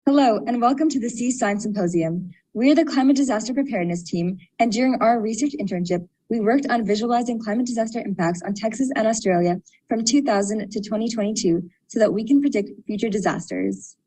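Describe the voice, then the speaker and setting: A teenage girl opens her team's science presentation, reading evenly from a script. Her voice is fairly high and mellow, with a faint hum in the background. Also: brisk, few pauses, low-quality recording. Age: teens. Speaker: student presenter.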